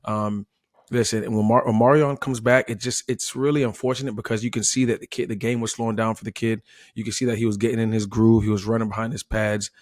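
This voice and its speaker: A man with an American accent and a fairly low voice offers casual sports analysis. He is emphatic, restating points to drive them home, and the sound is close and dry. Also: little pitch movement, quick pace, no echo. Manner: emphatic, repeats himself, says "listen". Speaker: former player turned sports analyst.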